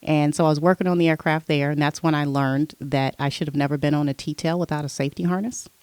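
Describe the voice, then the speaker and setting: A woman's voice: low voice, fast, smooth, no echo. Woman telling her life story, dry sound. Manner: relaxed storyteller, recounting her past at length. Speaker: podcast guest. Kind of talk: casual interview chat.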